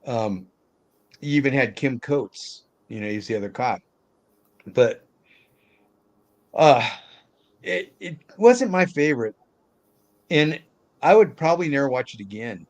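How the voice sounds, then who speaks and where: A man with a mid-pitched voice talks casually about films as part of a panel. His delivery is hesitant and halting, and his phrases often trail off. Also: animated. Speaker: podcast panelist discussing films. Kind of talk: casual chat.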